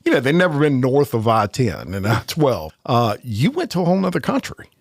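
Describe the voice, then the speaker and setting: A man's voice: mid-range voice, wildly swooping pitch, fairly quick, slightly rough, no room sound. Chatty podcast host man, dry sound. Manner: chatty, frequent short affirmations. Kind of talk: casual interview.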